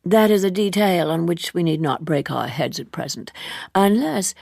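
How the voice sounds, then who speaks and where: A woman voicing a suave, worldly gentleman in an audiobook, leisurely, wordy and teasingly ironic, an amused seducer. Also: on the low side, animated, moderate pace, gravelly, dry recording, clean studio sound. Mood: persuasive, teasing. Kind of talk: audiobook character dialogue.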